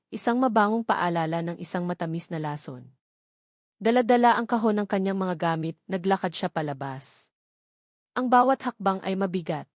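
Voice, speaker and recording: A woman's voice: medium pitch, darkish tone, dry recording, studio quality. A woman with a Filipino accent reads a scripted love story as a dramatic narrator.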